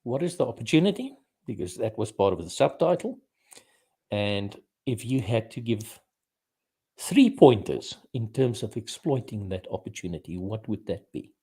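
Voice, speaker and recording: A man hosting a live-streamed interview, asking questions in a relaxed way with short affirmations. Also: on the low side, normal speed, breathy edge. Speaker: host. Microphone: video call.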